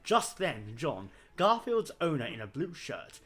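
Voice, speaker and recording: A man's voice: on the high side, very animated, a little slow, fairly bright tone. A man narrating a comedic story reading in a theatrical, mock-dramatic manner. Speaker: fan fiction reader.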